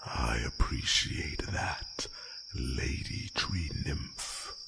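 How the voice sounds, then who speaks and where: An ancient, courteous dragon, rumbles in a heavily creaky near-whisper. The phrasing is extremely slow, formal and proud, as in a fantasy audio roleplay. Loud cricket-filled nature noise sits behind the voice. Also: male voice, full character voice. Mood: courteous, solemn. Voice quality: very deep, gravelly, breathy near-whisper. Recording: noisy track with loud nature ambience.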